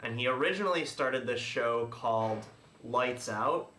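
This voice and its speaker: A man's voice: medium pitch, unhurried, pushed and bright. A man hosting a livestream, chatting casually and explaining things in a hesitant, rambling way. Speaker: podcast livestream host. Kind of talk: casual chat. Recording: echoey room.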